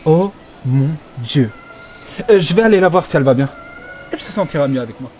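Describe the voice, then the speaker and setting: A man voicing an earnest, awkward schoolboy in a French animated fan dub. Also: fairly high pitch, fairly quick, natural voice. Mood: concerned.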